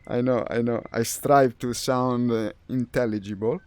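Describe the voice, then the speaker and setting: A man's voice: medium pitch, steady tone, normal speed, a little mellow, clear, resonant tone. A man from Italy with an Italian accent, a streamer chatting casually and thinking aloud while playing. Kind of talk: casual gaming chat.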